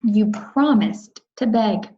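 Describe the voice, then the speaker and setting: A woman's voice: medium pitch, expressive, slow, mellow, highs cut off, a little hiss or hum. A woman voicing a spoiled, bossy rich little girl, whiny and insistent, in a children's storybook read-aloud. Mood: wheedling. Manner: whiny, insistent, often whispering.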